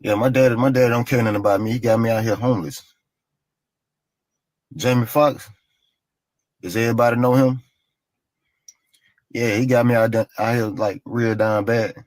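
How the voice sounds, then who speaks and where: A man's voice: medium pitch, fairly even intonation, normal speed, long pauses, darkish tone, no echo, low-quality recording. A man in a played clip telling a plain, aggrieved personal story and repeating his points.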